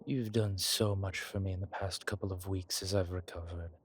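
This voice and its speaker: A man playing a suave, grateful old vampire in a roleplay audio, speaking formally with pauses and quiet amusement. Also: deep voice, fairly even intonation, husky. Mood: grateful, courteous. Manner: genteel, formal, hesitant pauses, soft chuckles. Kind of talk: intimate one-sided roleplay audio drama.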